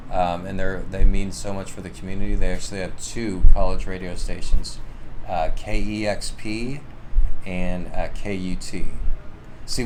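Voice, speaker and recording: A man hosting a livestream, talking in a casual, rambling, self-assured way. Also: deep voice. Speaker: livestream host and author. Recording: clearly noisy live stream.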